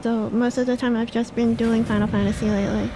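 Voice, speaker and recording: High-pitched, flustered woman game streamer. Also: fast talker, background noise. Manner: excitable, flustered, exclamatory, repeats words in panic. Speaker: streamer, host; English literature teacher. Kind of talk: casual livestream gaming chat. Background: game music.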